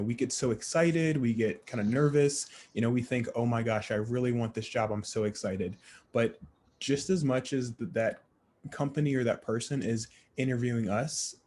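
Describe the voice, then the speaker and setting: Male panel moderator, friendly and encouraging, measured delivery, faint background hiss. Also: mid-range voice, darkish tone.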